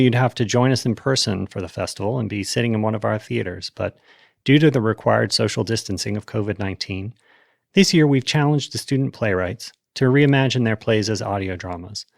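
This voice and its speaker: A man reading a scripted introduction as a festival announcer, in a measured, formal way. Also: fairly low pitch, vocal fry, no room sound, studio quality.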